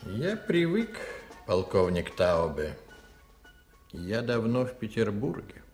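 A scheming, greedy priest in a historical radio play. He talks slowly and deliberately, with expressive rises and falls as he works on his listener. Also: lowish voice, faint music behind, heavily acted. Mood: sly. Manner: measured, deliberate, insinuating. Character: calculating, persuasive, greedy male Jesuit priest schemer. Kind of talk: theatrical historical radio drama acting.

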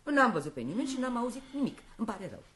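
A woman's voice: a little high, sing-song, fairly bright tone, a little hiss or hum. A woman playing a gracious, coolly candid divorced actress in a radio comedy, speaking with polished assurance. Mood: composed. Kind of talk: radio play acting, comedy.

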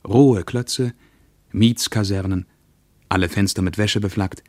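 An actor as a troubled, reflective male traveller in a radio play, with a fairly low voice. He speaks in measured, literary phrases, recorded dry and close as if reading from a diary. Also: fairly even intonation, a little mellow, no room sound, close to an everyday voice. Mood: weary, observant.

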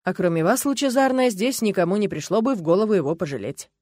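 A woman's voice: mid-range voice, expressive, quick pace, a little mellow, dry recording, studio quality, close to an everyday voice. A woman voicing a courteous, wry male castle spirit in an audiobook, thoughtful and mildly mocking. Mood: thoughtful, wary. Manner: thoughtful, sighing, lightly mocking.

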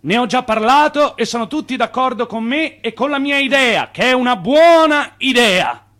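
A man's voice: very high-pitched, animated, normal speed, a bit bright. An actor playing an ardent, charming immigrant man with impulsive ideals in a radio play. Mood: rousing oratory. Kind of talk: radio play acting, shifting between narration and oratory.

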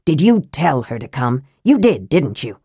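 A woman voicing a sickly young male mage who speaks coldly and scornfully through a rasping throat. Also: big pitch swings, moderate pace, no echo, clean studio sound, full character voice. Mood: accusing. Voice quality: rasping, low. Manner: scornful, sharp. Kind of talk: audiobook character voice.

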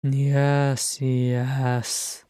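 Proud brooding dwarf king, acted by a narrator: growling, very slow, emphatic, dry sound. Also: mid-range voice, steady tone, warm tone, no echo, natural voice. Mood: weary, remorseful. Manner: growling, emphatic, drawn-out words. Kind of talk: fan fiction audiobook character acting.

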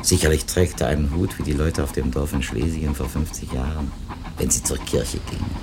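An actor playing an earnest, reflective literary editor in a naturalistic radio play, with hesitant, dryly ironic turns. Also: male voice, very deep voice, fairly even intonation, brisk, very noisy. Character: earnest, musing male publishing editor visiting an author.

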